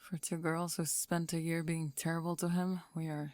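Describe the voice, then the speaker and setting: A woman playing a steady, blunt, dryly teasing teenage bully girl in an ASMR audio roleplay, calm and deadpan. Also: low voice, normal speed, no room sound, natural voice. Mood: dry, matter-of-fact.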